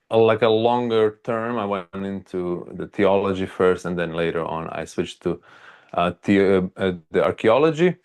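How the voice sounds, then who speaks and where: A man from Croatia with a Croatian accent, telling his story haltingly and casually as an interview guest, with many hesitations. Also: low-pitched, gravelly, darkish tone. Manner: halting, frequent hesitations and filler words. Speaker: archaeologist and video creator, interview guest.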